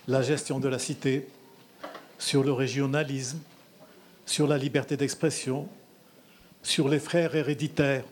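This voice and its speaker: A man from the audience asking a question at an outdoor public talk, speaking formally and deliberately. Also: mid-range voice, darkish tone, a little hiss or hum. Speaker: audience member. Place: outdoor town square event.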